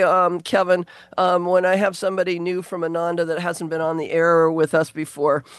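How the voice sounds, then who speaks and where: A woman's voice: lowish voice, dry recording. A woman hosting a casual radio chat show, talking in a chatty, rambling way with many ums, her voice worn down by allergies. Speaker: radio host.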